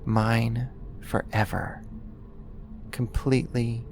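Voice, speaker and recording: An actor playing an obsessive, possessive neighbor turned kidnapper in an ASMR roleplay, speaking in a deliberate, intimate, controlling way. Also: medium pitch, level tone, gravelly, darkish tone, faint music behind. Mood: seductive, possessive.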